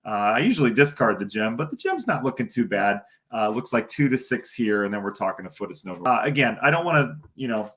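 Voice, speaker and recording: A man with a hoarse, worn-out voice talks through a live weather forecast. His delivery is loose and chatty, full of asides as he thinks aloud at a mid-range pitch. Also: fairly bright tone. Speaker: TV meteorologist. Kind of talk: live-streamed weather briefing.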